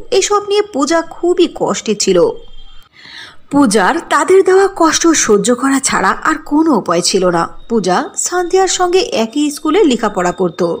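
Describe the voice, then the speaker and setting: High, lively woman storyteller. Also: husky. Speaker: narrator. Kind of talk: scripted fairy-tale narration.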